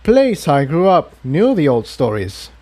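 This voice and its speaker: A man's voice: fairly high pitch, wildly swooping pitch, measured pace, warm tone, pitched above the performer's own voice, heavily acted. A man voicing an earnest male unicorn traveler who asks questions politely in a storybook reading. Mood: polite, inquiring.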